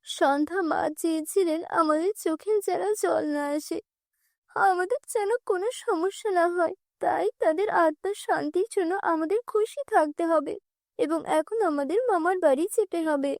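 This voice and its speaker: A woman voicing a gentle, responsible young orphaned girl, an elder sister, speaking earnestly and reassuringly. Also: very high-pitched, moderate pace, no room sound, clean studio sound. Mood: consoling.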